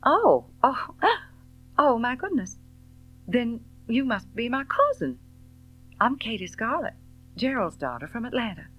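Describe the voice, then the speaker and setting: A woman voicing a headstrong, impatient young Southern woman in an audiobook novel, brisk and emphatic. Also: on the high side, sing-song, a bit bright, soft background music, pitched above the performer's own voice, exaggerated voice. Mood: surprised.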